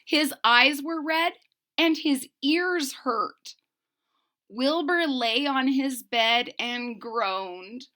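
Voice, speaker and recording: A woman storyteller reading a picture book to children, deliberate and expressive, with simple explanations. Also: high-pitched. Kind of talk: expressive read-aloud with simple recaps.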